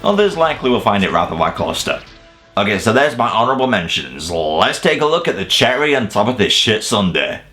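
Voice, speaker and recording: Man, low pitch, scripted casual review, emphatic delivery, cheeky self-mocking humour, background music. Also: sing-song, fairly quick. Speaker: video reviewer presenting a top ten list.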